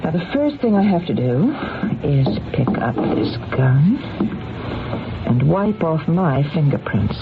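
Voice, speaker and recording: A woman's voice: low voice, sing-song, slow pace, music in the background, heavily acted. An actress playing a cold, calculating, domineering woman employer in an old radio drama, commanding and coolly persuasive. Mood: firm, insistent. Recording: tinny narrow-band old radio recording.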